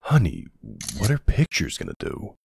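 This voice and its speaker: A man playing a protective older ex-military boyfriend, gruff but tender, in an intimate ASMR roleplay with a gravelly, husky, soothing voice. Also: sits low, huge pitch swings, a little mellow, a little hiss or hum, full character voice. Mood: affectionate. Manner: slow, hesitant, soothing.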